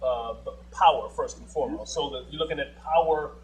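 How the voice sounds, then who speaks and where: Explanatory academic man, a bit high. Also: fairly quick, a little hiss or hum, poor audio. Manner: explanatory, lays out definitions. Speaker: academic panel guest. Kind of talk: studio panel discussion.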